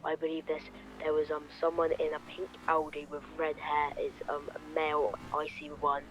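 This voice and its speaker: A man's voice: on the high side, monotone, moderate pace, a bit bright, slight background noise, rough recording. A British man playing a police officer in a game roleplay, reporting with many hesitant ums.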